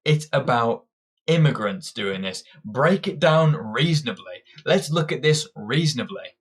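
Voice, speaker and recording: A man's voice: medium pitch, expressive, a little slow, husky. Combative young man ranting. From Britain. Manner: combative, ranting, frequent restarts. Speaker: political commentator. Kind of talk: opinionated monologue.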